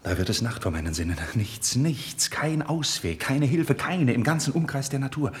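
An actor in a 1950s German radio drama playing a noble, self-sacrificing friend, speaking verse in a solemn, impassioned and declamatory way. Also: male voice, mid-range voice, animated, normal speed, natural voice. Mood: anguished, impassioned.

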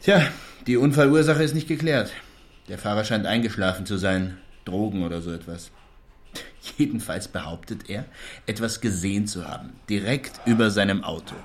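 An actor playing a wry, observant colleague who chats in a conversational, teasing way in a radio drama. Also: male voice, on the low side, moderate pace, lightly acted. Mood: curious, concerned. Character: chatty, wry male colleague, curious and observant.

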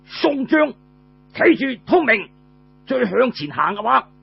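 A man voicing a menacing enemy general who barks out a loud, threatening challenge. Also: very high-pitched, very animated, slow, vocal fry, lo-fi sound, slight background noise, voice pushed up, heavily acted. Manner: barking, threatening.

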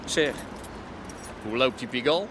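An actor playing a smooth-talking man pitching a deal in a radio drama, breezy, slangy and insinuating. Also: a little slow, lightly acted. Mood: sly, jovial. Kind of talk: naturalistic Dutch radio drama acting. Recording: noisy outdoor street ambience.

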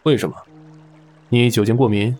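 A man's voice: mid-range voice, steady tone, moderate pace, a little mellow, close to an everyday voice. A man playing a shrewd, worldly gambling boss in an audio drama, speaking with authority. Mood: worldly, probing.

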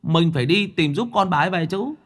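Man doing a fast jokey male ghost. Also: on the high side, expressive, fairly bright tone, voice pushed up. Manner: fast, joking, rambling. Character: chatty male ghost, loose-tongued joker.

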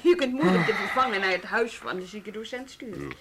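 A woman's voice: medium pitch, animated, fairly quick, a bit bright. An actress in a naturalistic radio play, playing a concerned, critical woman talking over work at home. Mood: indignant. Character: concerned, critical woman discussing music school affairs at home. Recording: radio play with clear background noise.

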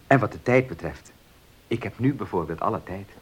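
Radio drama casting: kindly, courteous gentleman, stammering and eager, animated swooping intonation, slightly husky. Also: on the low side, fairly quick, poor audio. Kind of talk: Dutch radio play acting.

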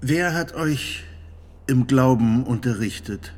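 An actor in a radio drama playing a stern church judge who interrogates a prisoner in a formal, insistent, authoritative way. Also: male voice, medium pitch, slow, darkish tone. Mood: stern, official.

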